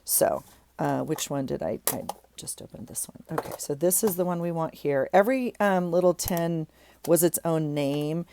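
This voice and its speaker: A woman's voice: low voice, moderate pace, warm tone, slight background noise. A middle-aged woman with a stuffy nose, chatting casually as she works on a craft project, thinking aloud with many ums and restarts. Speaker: craft vlogger.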